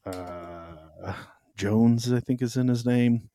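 A man's voice: medium pitch, takes it slowly, mellow, no room sound, studio quality. A man co-hosting a faith podcast, talking casually with rambling asides and jokes. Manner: rambling, joking, many fillers. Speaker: podcast co-host, pastor.

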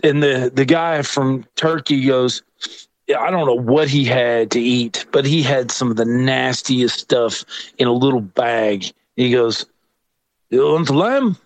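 A middle-aged man telling a story in a casual, comedic way. He is animated, acts out other people's lines and laughs often. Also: mid-range voice, dry recording. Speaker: storyteller guest.

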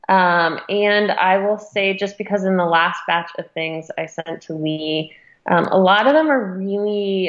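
A woman's voice: on the low side, lo-fi sound. A woman being interviewed as an editor, speaking informally and thinking aloud as she goes. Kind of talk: casual interview conversation.

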